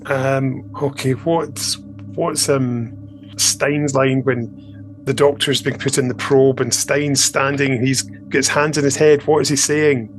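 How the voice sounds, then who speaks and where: A man with an ordinary mid-range voice hosts a podcast in a breezy, joking manner. Faint music sits quietly behind him. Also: medium pitch, fairly even intonation, a little slow, poor audio. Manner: upbeat, jokey. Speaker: podcast host. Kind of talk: casual podcast chat.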